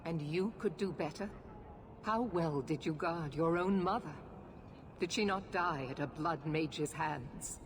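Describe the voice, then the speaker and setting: A female military commander from a fantasy game who does not bend. Her voice sits fairly low, formal and severe, giving orders as if they are final. There is noticeable noise behind her. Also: a little slow. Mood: cold, unyielding. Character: stern, unyielding female knight commander in authority. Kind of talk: dramatic fantasy video game acting.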